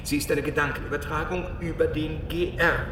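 An actor playing a wry, pragmatic scientist who explains things in a husky voice in a naturalistic radio drama. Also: male voice, on the high side, steady tone, a little fast, background noise, close to an everyday voice. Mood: explanatory.

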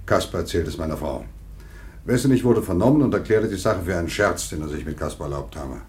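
A deep-voiced, commanding mayor. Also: male voice, expressive, darkish tone. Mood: telling. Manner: brisk, giving orders. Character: brisk, commanding town mayor. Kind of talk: radio play acting.

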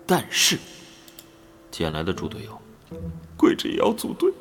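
Cocky young rich guy, wildly animated. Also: sits low, normal speed. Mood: wry. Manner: cocky, wisecracking, swings into tearful pleading. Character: brash modern rich young man who time-travelled to the 1930s. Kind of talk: Chinese audio drama acting with first-person narration. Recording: music behind the voice.

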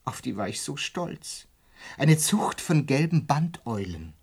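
An earnest amateur butterfly breeder in a radio drama. His medium-pitched voice has a husky grain. He speaks formally and awkwardly, explaining things with pedantic care and some hesitation. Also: big pitch swings, unhurried, darkish tone, full character voice. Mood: eager, earnest. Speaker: narrator and main character. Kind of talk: German radio play, dialogue and reflective narration.

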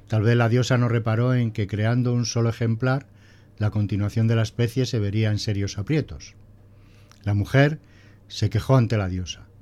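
A man's voice: medium pitch, a little mellow, close to an everyday voice. A man playing a prim, pedantic male schoolteacher who lectures didactically in a scripted audio drama. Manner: didactic, pompous.

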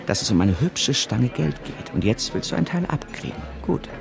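A man's voice: medium pitch, very animated, normal speed, gravelly, over music. An actor playing a shady, controlling man who presses people insistently, in a naturalistic radio drama. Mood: controlled pressure. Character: shady, pressuring man offering a dubious favour.

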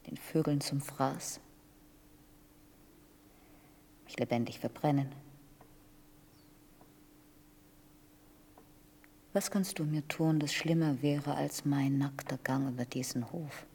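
Quietly defiant prisoner lady, radio drama, husky creaky female voice, hushed and halting, reverberant hall. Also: a bass voice, a little hiss or hum, exaggerated voice. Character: dignified, apologetic middle-class woman prisoner, quietly defiant. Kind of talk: intimate German radio drama acting.

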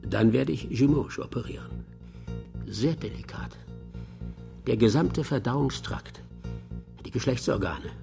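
An actor playing a self-assured, coolly clinical professor of surgery in a radio crime drama, precise and matter-of-fact. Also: male voice, mid-range voice, lively intonation, measured pace, vocal fry, long pauses, dark tone, faint music behind. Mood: clinical, confident. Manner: matter-of-fact, precise, unfazed by gruesome detail.

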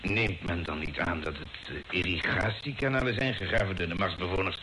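A man's voice: deep voice, a little fast, sharp tone, slight background noise. A man playing a curious, insistent reporter in an old radio drama. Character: curious, insistent male reporter questioning an expedition leader.

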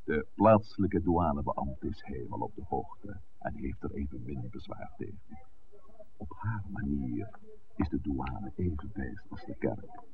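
An actor playing a shrewd, dignified village clergyman in a 1960s radio drama, speaking in measured, formal, lecturing phrases. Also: very deep voice, lively intonation, heavily acted. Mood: measured, guarded. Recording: noisy, narrow-band old radio recording.

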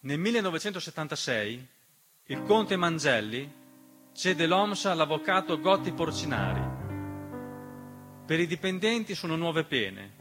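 A man's voice: fairly high pitch, big pitch swings, unhurried, long pauses. A man delivering an emphatic, dramatic theatrical monologue as narrator, with rhetorical questions and refrains. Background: piano accompaniment.

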